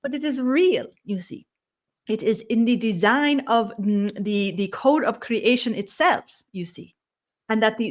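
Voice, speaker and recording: A woman's voice: fairly high pitch, fairly bright tone. Ceremonious woman channeling a collective voice. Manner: slow, ceremonious, adds "you see" to nearly every phrase; speaks as "we", with slightly odd grammar. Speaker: spiritual channeler. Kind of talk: channeled spiritual talk.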